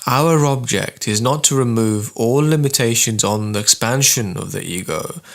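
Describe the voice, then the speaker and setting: A man reading spiritual writings as scripted narration, in a measured, formal and deliberate manner. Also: medium pitch, vocal fry.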